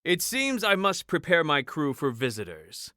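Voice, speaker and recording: An actor voicing a wry, distrustful sea captain in a fantasy audiobook, with formal phrasing. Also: male voice, fairly high pitch, huge pitch swings, normal speed, bright, forceful tone, no echo, clean studio sound, heavily acted. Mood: courteous. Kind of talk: audiobook character acting.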